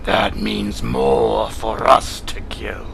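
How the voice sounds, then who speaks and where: A man's voice: fairly low pitch, fairly even intonation, very slow, a bit bright, slight background noise, full character voice. A man playing a proud, fierce alien cat warrior in a sci-fi audio drama, haughty and declamatory, full of contempt for humans. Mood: taunting relish. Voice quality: gravelly, growling.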